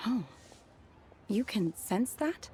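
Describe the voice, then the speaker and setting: A woman playing a polite, reserved young disciple in a video game, composed and earnest, with a gentle, careful, formal manner. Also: a little high, expressive, slow, a little hiss or hum. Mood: hesitant, earnest. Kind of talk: video game character acting. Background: game music.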